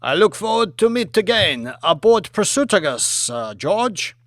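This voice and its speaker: A man voicing a polite, effusive Chinese premier in an audiobook, speaking with halting, stilted courtesy. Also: high voice, sing-song, fairly bright tone, no room sound, studio quality, voice pushed up, full character voice. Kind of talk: audiobook character acting.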